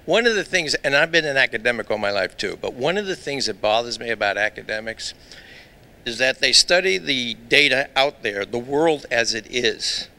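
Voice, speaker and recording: A man's voice: medium pitch, expressive, moderate pace, bright, forceful tone. A man with a Brooklyn accent, an academic in the audience, who puts a pointed and wry challenge to the speaker. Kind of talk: challenging question from the floor.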